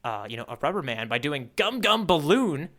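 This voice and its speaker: A man co-hosting a podcast, chatting casually and playfully as he recaps a story with animated, mock-earnest delivery. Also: a little high, moderate pace. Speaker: podcast co-host playing the tourist. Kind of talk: casual bantering recap of a manga chapter.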